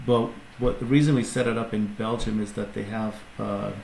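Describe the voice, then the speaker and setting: A man with a low, gravelly voice explains his company as a podcast guest, stopping and restarting his sentences. The room is echoey, with faint ambient noise behind him. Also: fairly even intonation, normal speed, a little mellow. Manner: explanatory, many restarts and fillers. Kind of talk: casual interview talk.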